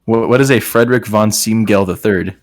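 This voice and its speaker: A man's voice: lowish voice, fairly quick, no echo. A man chatting casually and comedically as a show host, with sarcastic, sweary remarks. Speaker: show host reading and mocking fan fiction. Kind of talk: casual comedic group chat. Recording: music behind the voice. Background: background music.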